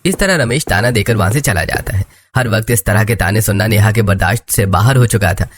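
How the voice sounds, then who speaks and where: A man's voice: lowish voice, brisk, a little hiss or hum. A man narrating a scripted cartoon story as its storyteller.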